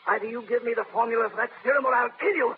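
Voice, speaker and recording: A man's voice: very high-pitched, racing. An actor playing a frightened, desperate doctor who betrayed his country, talking frantically in a 1930s radio drama. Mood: frantic. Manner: frantic, pleading, nervous. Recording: tinny noisy old radio recording.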